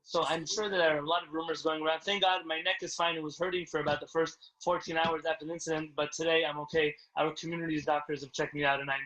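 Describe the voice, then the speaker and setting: A man's voice: level tone, fast talker, few pauses, sharp tone, dull audio. A man speaking as a rabbi, answering community questions on a video call in a courteous, inviting way. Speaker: rabbi leading a community address. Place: indoors on a Zoom video call. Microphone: video call microphone. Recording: low-quality video call audio.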